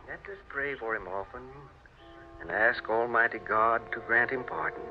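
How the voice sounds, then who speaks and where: A man playing a pious reverend, grave and measured, in a funeral scene of an old-time radio drama. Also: medium pitch, background noise, lightly acted. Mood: solemn, pious. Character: solemn pious reverend giving a funeral eulogy. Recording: dull vintage radio recording, music behind.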